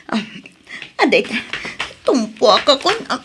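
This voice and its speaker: A woman's voice: fairly high pitch, sing-song, unhurried, background noise. A woman playing a distressed young wife in an emotional radio drama. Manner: emotional, tearful. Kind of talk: Ilocano radio drama acting.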